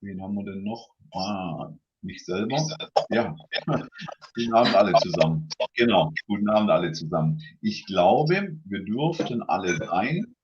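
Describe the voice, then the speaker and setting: A man's voice: sits low, animated, normal speed, dull audio, slight background noise. A man hosting a casual livestream tasting, chatty and full of fillers, greeting viewers by name.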